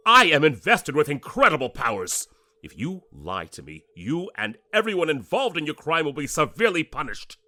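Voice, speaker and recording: An actor playing a pompous, menacing government interrogator, formal and domineering, in an audio drama. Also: male voice, fairly high pitch, wildly swooping pitch, moderate pace, sharp tone, exaggerated voice. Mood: threatening.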